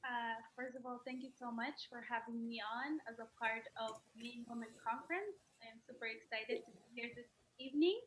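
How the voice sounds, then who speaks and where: Bright, echoey woman from Sudan. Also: a little high, brisk, slight background noise. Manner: earnest, many uh fillers. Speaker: interview guest, human rights activist. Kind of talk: personal story in an interview. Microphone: video call.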